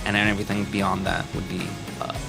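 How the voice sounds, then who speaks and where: A man's voice: low-pitched, completely flat delivery, fast, vocal fry, loud music behind the voice. A man speaking as a panelist at a live convention, methodically explaining things point by point. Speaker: panelist, indie animation filmmaker.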